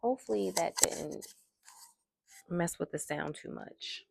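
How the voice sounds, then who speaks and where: Woman tarot reader, soft and low, slow gravelly delivery, dry close sound. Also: background noise. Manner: soft-spoken, slow and musing. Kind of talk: soft-spoken tarot reading. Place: indoors at a table.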